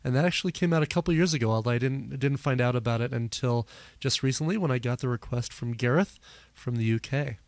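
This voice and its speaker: A man hosting a music podcast in casual chat, his voice a little hoarse, talking with plenty of fillers. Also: medium pitch, fast talker, no echo. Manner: rambling, many fillers. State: slightly hoarse, losing his voice. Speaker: podcast host.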